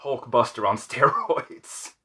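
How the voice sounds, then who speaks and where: A man's voice: mid-range voice, very animated, slow pace, bright, forceful tone. Man chatting casually as a fan. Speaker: co-host of a fan discussion show.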